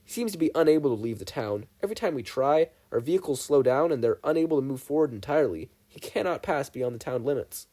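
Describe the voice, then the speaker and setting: A man's voice: mid-range voice, expressive, fast talker, hardly any pauses, mellow, close to an everyday voice. A man voicing a stern, dismissive government agent with a curt, officious manner.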